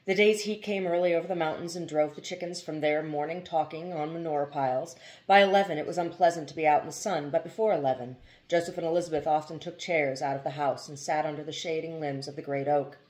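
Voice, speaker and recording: A woman's voice: sits low, fairly even intonation, fast talker, few pauses, a little mellow. A woman narrating a novel as an audiobook reader, in a literary storytelling style.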